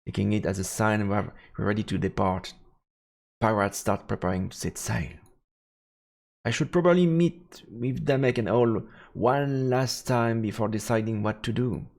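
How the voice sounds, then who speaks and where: A man's voice: lowish voice, moderate pace, creaky, a little mellow. A man reading a visual novel aloud as dramatic narration on a game stream. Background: game music.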